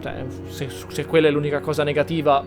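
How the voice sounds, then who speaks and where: A male gaming creator from Italy chats casually through his playthrough. His voice sits a little high, and quiet game music plays behind him. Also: flat delivery, quick pace. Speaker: gaming YouTuber. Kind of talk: casual gameplay commentary.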